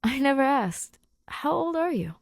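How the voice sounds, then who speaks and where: A woman's voice: high voice, very animated, a little slow, gravelly, no echo, clean studio sound, pitched above the performer's own voice, exaggerated voice. A woman playing a flustered, awkward middle-aged male schoolteacher who repeats words. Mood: curious.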